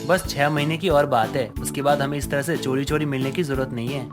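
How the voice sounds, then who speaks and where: A man's voice: mid-range voice, steady tone, brisk, lightly acted. A man playing an eager, teasing young husband in a story cartoon. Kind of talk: Hindi story cartoon dialogue. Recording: music under voice.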